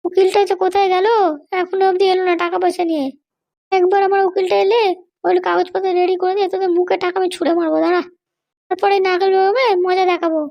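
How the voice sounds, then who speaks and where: Woman voicing a squeaky, haughty cartoon lady boss. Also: level tone, fast, lo-fi sound. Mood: vengeful. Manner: bossy, indignant, scolding. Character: haughty, threatening female political boss held in jail. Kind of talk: Bengali comedy cartoon dialogue.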